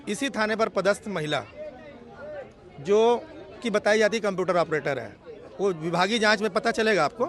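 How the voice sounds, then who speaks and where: High-pitched, emphatic male activist spokesman. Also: a bit bright. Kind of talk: on-the-spot news interview statement. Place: outdoors near a police station. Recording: outdoor street with crowd murmur.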